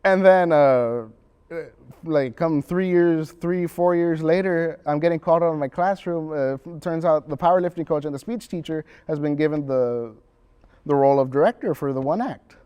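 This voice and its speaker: A man's voice: on the high side, moderate pace, dark tone, clean tone. A young man, a student actor, talking casually in an interview. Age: young adult.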